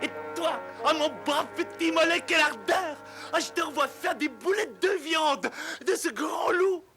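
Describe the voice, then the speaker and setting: A man voicing a vain, boastful, excitable toad gentleman in a cartoon dub, theatrical and exclamatory. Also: very high voice, unhurried, over music. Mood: gushing, excited. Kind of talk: French cartoon dub acting.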